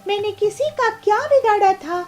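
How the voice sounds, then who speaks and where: A woman's voice: extremely high pitch, lively intonation, moderate pace, darkish tone, soft background music. A woman voicing a kind, devout female bird in a children's cartoon, speaking earnestly. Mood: distressed, pleading. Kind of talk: Hindi children's cartoon dubbing.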